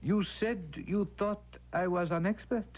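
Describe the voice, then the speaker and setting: An actor playing a pompous, solemn medium in an old radio drama, theatrical and deliberate. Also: male voice, sits high, steady tone. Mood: indignant, lofty. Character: pompous, solemn male medium conducting a seance. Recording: dull old radio recording.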